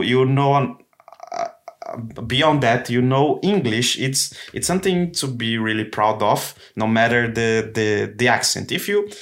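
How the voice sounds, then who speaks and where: Podcast guest: man, Brazilian accent, native Portuguese, medium pitch, casual and reassuring conversational delivery. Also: creaky, a bit bright. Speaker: podcast guest, comedian and actor.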